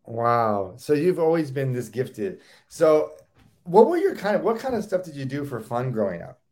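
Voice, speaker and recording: A man's voice: medium pitch, sing-song, normal speed, a little mellow. A man hosting a casual interview, enthusiastic and often repeating or restarting words. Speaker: host.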